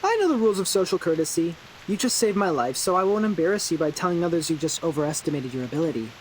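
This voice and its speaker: A man's voice: a little high, expressive, fast talker, slight background noise, close to an everyday voice, low-quality recording. An actor playing an eccentric, theatrical young man who loves horror, in a video game. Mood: teasing. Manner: theatrical, teasing, grandiose. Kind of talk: English video game character acting.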